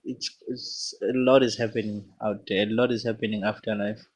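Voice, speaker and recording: Non-native English man, lowish voice, halting scripture reader who stops to comment. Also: level tone. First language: not English. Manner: halting, stops often to correct words and exclaim. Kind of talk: reading scripture aloud with casual commentary.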